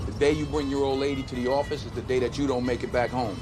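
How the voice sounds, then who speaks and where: A male actor's hard-bitten cop with a mid-pitched voice. He talks bluntly with streetwise swagger in a film scene, with loud music behind him. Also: fairly even intonation, quick pace, lightly acted. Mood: stern warning. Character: hard-bitten, street-wise cop schooling his partner. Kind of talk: film acting.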